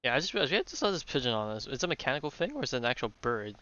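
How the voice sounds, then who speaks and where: A man's voice: mid-range voice, very animated, rapid-fire, pushed and bright, lo-fi sound. A man giving casual live-stream commentary as he plays through a game's story. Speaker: game streamer. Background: game music.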